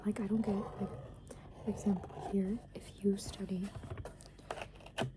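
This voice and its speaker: A young woman whispering close to the microphone, rambling softly and casually with plenty of "like" and "um" as she talks. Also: medium pitch, level tone, unhurried, background noise. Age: young adult. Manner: soft unvoiced whisper, rambling with many fillers. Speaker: ASMR creator. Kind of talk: whispered ASMR soft rambling.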